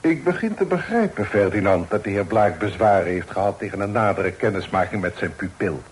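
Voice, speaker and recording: A man's voice: on the low side, lively intonation, brisk, husky. A man playing a stern, suspicious father and magistrate in a Dutch radio drama, speaking in a formal, reproachful way. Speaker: radio play actor. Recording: faintly noisy old radio play recording.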